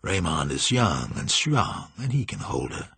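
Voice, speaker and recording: Man voicing a low, silky, sinister vampire master. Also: sing-song, measured pace, dry recording, exaggerated voice. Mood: sly amusement. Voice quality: low, smooth, musical. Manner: courtly, languid, softly mocking. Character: suave, sinister male vampire master, slyly menacing. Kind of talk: audiobook character acting.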